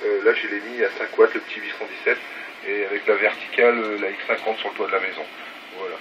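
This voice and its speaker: Casting: male radio hobbyist, lowish voice, keen and repetitive, informal on-air chat, noisy muffled phone capture. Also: fairly even intonation, fast talker. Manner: enthusiastic, repeats words for emphasis. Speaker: amateur radio operator filming his station. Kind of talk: casual radio contact chat. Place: at home beside radio set. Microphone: phone filming, off the mouth. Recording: dull, very noisy phone video.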